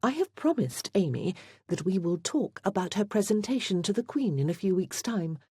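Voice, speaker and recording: Tactful, firm countess, done by a woman narrator for period audiobook; higher, mellow delivery. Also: sing-song, moderate pace, husky, no room sound, studio quality. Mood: politely apologetic. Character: gracious apologetic young countess, tactful but firm.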